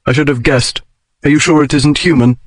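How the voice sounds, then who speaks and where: A man voicing a proud gentleman adventurer who hides his unease, in audiobook dialogue. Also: a little high, steady tone, a little fast, no echo, lightly acted. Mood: cold, distant. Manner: clipped, stiff, cool.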